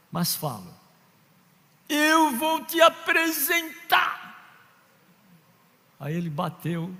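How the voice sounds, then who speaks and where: Preaching man in a reverberant hall. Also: on the high side. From Northeast Brazil. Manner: storytelling, acts out quoted voices, builds to shouting. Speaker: pastor. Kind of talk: preaching sermon with anecdotes. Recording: long reverb of a large hall.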